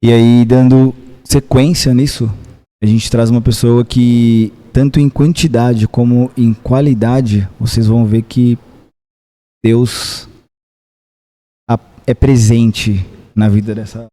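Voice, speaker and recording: A man's voice: medium pitch, flat delivery, takes it slowly, dark tone. A man hosting a parish podcast, introducing his guest and asking her questions. He talks in a chatty way and often leans on a tag "né?". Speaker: podcast host.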